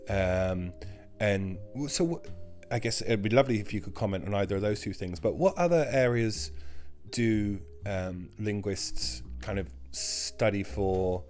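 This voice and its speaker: A man's voice: on the low side, a little slow, darkish tone, soft background music. A man interviewing a guest, asking long, hesitant questions full of "um" and "kind of". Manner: hesitant, lots of "um" and "kind of", restarts.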